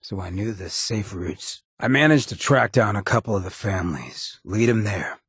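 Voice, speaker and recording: An actor playing a streetwise, blunt yet loyal ghoul mayor in a video game, with a husky rasp and a slangy drawl. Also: male voice, lowish voice, expressive, moderate pace, a bit bright, no echo, clean studio sound, full character voice. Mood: grim, reflective. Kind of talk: video game companion dialogue.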